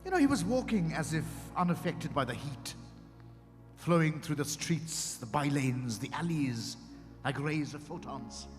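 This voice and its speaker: An actor playing a dramatic male storyteller, narrating a literary piece in a theatrical, deliberate manner. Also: a little high, animated. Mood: suspenseful, descriptive. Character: dramatic male storyteller recounting a strange encounter. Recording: echoing hall with music behind. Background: music.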